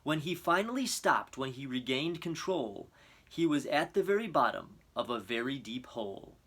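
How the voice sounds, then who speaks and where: A man's voice: mid-range voice, sing-song, moderate pace, a bit bright, husky. Earnest man reading for children. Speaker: storybook reader. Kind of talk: expressive children's story narration and introduction.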